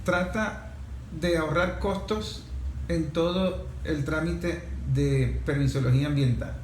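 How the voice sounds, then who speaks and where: A male interviewer with a Venezuelan accent speaks in a fairly high register for a man. He talks conversationally, and the room around him is echoey with slight noise. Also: slow pace. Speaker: interviewer, host.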